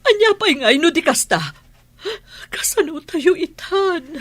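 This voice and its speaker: An actress playing a distressed, irritable mother in an Ilocano radio drama, with a husky, emotional delivery. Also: extremely high pitch, expressive, measured pace, fairly bright tone, full character voice. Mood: tearful distress.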